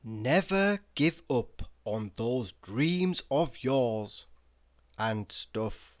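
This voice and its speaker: A person reading mock-inspirational quotes aloud in a deliberate, dramatic manner, pausing between phrases. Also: medium pitch, lively intonation. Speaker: reader of quotes.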